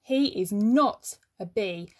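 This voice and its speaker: A woman's voice: mid-range voice, expressive, takes it slowly. A woman voicing a clever little female bee in a children's story, questioning and insistent.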